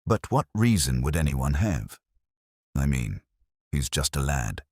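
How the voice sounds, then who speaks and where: A male narrator acts out an eager sergeant in an audio mystery, puzzled and plain-spoken. The voice swings lively up and down over a low, slightly rough base. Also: a little slow, no echo, clean studio sound, heavily acted. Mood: bewildered. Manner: plain-spoken, naive questioning. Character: earnest, bewildered, eager male police sergeant.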